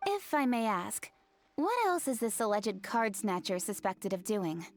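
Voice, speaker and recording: A woman's voice: medium pitch, animated, a little slow, faint music behind. A woman playing a poised, courteous young noblewoman in an anime-style video game, speaking formally and politely. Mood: serious.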